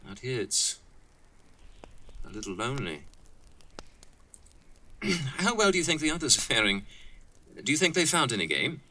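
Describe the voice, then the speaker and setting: A man's voice: mid-range voice, very animated, normal speed, long pauses, bright, forceful tone. A man playing an earnest, talkative young college student camper, chatty but hesitant and musing, in an audio drama. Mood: reflective.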